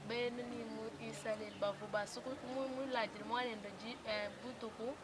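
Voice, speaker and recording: A woman reciting in a local language, soft and measured, with brief replies. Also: fairly high pitch, steady tone, very noisy.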